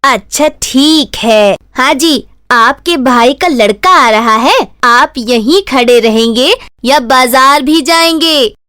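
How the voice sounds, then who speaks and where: A woman's voice: high-pitched, slow pace, hardly any pauses, pushed and bright, no echo, clean studio sound, heavily acted. A woman voicing a doting, protective village mother in a Hindi cartoon story, pleading and insistent. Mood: fond, fussing.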